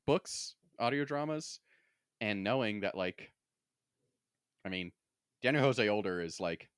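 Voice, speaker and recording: Male podcast co-host, lower register, loose conversational delivery, close and dry sound. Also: a bit bright, smooth, no room sound. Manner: casual, peppered with "like". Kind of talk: casual book discussion chat.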